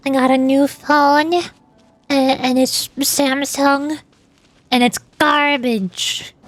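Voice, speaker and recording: A woman's voice: sits high, fairly even intonation, takes it slowly, no echo, faint music behind. A tired, sleepy woman chatting casually and rambling on a livestream as a VTuber. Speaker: VTuber streamer and amateur voice actor.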